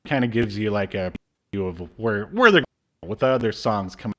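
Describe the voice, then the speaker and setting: A man's voice: on the low side, expressive, a little fast. A man in his thirties co-hosting an informal review chat, talking in a casual, joking way. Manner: jokey, informal. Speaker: podcast co-host. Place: indoor room, on a video call.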